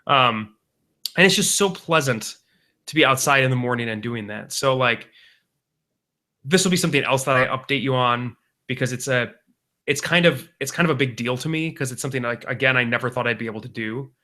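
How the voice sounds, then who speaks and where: A man's voice: mid-range voice, brisk, bright, forceful tone. A man in his thirties co-hosting a podcast, talking casually and rambling with many restarts. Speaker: podcast co-host. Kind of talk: casual chat.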